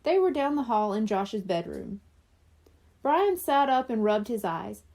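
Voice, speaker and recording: High-pitched, lively woman reading a children's story. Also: measured pace. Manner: expressive character reading. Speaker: audiobook narrator. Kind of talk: scripted children's story narration.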